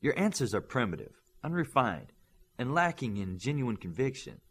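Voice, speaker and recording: A stern superhero coach, acted by a male narrator, in an audiobook story. He is exacting and formal, delivering measured words in a medium-pitched man's voice. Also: very animated, a bit bright, husky, full character voice. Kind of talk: audiobook character voice.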